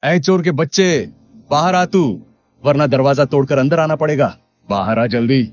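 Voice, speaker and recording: A man playing a stern, blustering village headman in an animated moral story, with a commanding manner. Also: a little high, moderate pace, natural voice. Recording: dull lo-fi sound under music.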